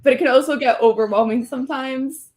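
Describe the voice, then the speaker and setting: A woman's voice: high voice, brisk, darkish tone. A young woman from Puerto Rico, chatting as an interview guest and leaning on "like" as a filler. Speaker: guest, streamer. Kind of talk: casual interview chat.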